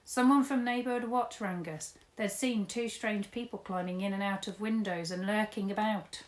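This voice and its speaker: A woman's voice: mid-range voice, fairly even intonation, normal speed, echoey room, pitched above the performer's own voice, close to an everyday voice, low-quality recording. A woman reading a storybook aloud, voicing a bossy police sergeant who roars orders. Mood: commanding. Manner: roaring, commanding.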